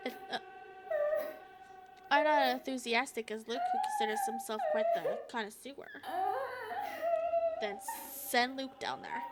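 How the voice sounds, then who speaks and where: A woman reading a visual novel aloud as a gaming streamer, halting and expressive, with casual asides. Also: high-pitched, very noisy. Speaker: gaming YouTuber.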